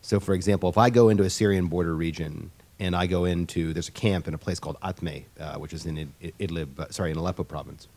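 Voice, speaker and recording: A man on a panel answering a question, speaking in a halting, explanatory way with many restarts. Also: sits low, fairly even intonation, darkish tone.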